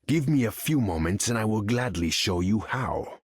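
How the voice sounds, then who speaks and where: A man's voice: on the low side, lively intonation, moderate pace, slightly rough, dry recording, studio quality. An actor playing a proud, imperious sea king in a video game, speaking in a grand, formal way. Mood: haughty, threatening. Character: proud, imperious undersea king, haughty and vengeful. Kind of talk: video game character voice lines.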